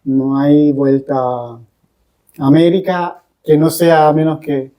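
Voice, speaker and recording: Slow, mellow man in conversation. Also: a little high, little pitch movement. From Chile. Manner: deliberate, pausing between short phrases. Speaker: guest, English teacher. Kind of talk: reflective podcast conversation.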